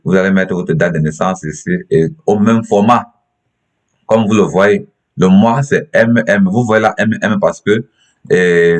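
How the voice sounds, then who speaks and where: Very deep-voiced Cameroonian man, halting. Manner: halting, repeats himself. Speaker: tutorial presenter. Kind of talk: step-by-step screen tutorial.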